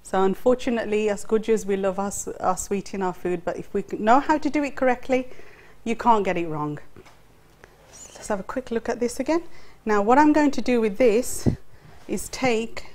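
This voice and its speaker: A woman's voice: fairly high pitch, moderate pace, darkish tone. A middle-aged woman hosts a cooking show, explaining each step as she cooks in a studio kitchen on a lapel mic. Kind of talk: cooking demonstration. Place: studio kitchen set, indoors. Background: faint clatter of pots and utensils.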